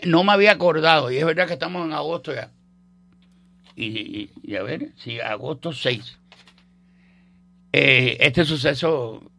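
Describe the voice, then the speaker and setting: An older Cuban man with a Cuban accent hosts a radio show. His voice is gravelly with a bright, edgy tone. He rambles through history in a casual, joking way, full of fillers and restarts. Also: on the high side, no room sound. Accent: Cuban Spanish. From Cuba. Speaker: radio host. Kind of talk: casual on-air history chat.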